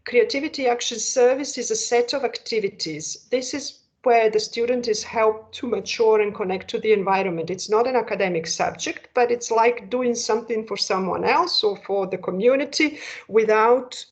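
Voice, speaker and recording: A woman's voice: a little high, moderate pace. A woman presenting an online school information session, explaining patiently and asking rhetorical questions. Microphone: video call. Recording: dull lo-fi video call audio.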